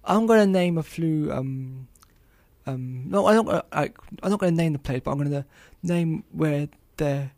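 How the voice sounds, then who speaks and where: A man contributing casual sports chat on a radio show, halting and repeating words as he searches for them. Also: a little high, darkish tone, no room sound.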